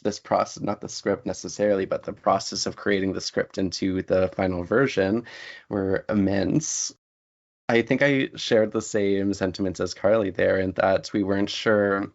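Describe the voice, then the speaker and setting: A man speaking as a guest writer in an interview, with an articulate, measured and fairly formal way of talking. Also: fairly low pitch, darkish tone, dull audio. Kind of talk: interview conversation.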